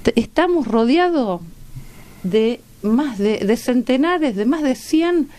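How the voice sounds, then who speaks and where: A woman's voice: high voice, animated, takes it slowly, warm tone. A woman with an Argentine Rioplatense accent, a guest doctor explaining health advice conversationally in a radio interview, often checking in with short tag questions. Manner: didactic, checks in with rhetorical tags. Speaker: guest doctor and medical researcher.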